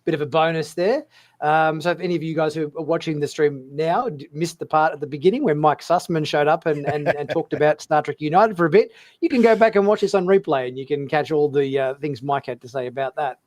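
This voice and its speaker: Higher-pitched man hosting casually. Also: fairly quick, darkish tone. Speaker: host. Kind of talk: casual live-show hosting. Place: remote video call.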